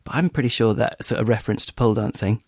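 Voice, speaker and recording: A man's voice: medium pitch, fast, gravelly, no room sound, studio quality. A man co-hosting a podcast with casual, conversational banter. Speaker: podcast co-host.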